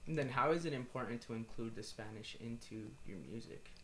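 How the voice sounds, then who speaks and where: A young man hosting a casual podcast, an animated storyteller who slips into easy slang. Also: medium pitch, brisk. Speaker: podcast host. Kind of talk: casual interview.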